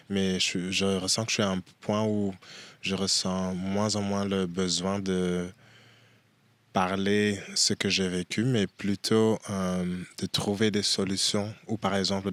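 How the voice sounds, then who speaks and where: A young man from Belgium, a dancer and performance artist, speaking as an interview guest outdoors at a festival, pausing often with fillers. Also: low-pitched, fairly bright tone, dry recording. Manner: halting, many pauses and "euh" fillers. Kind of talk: casual interview.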